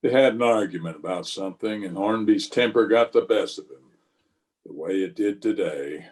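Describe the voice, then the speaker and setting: A man playing a calm, plain-spoken frontier marshal in a radio western, measured and laconic. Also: lowish voice, close to an everyday voice. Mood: matter-of-fact. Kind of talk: old-time radio western acting.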